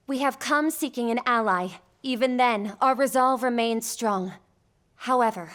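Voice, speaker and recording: Poised young princess, high voice played by a woman. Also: lightly acted. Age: young adult. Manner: formal, composed, earnest. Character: poised, resolute young princess, diplomatic and selfless. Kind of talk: cinematic fantasy game acting. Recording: music behind.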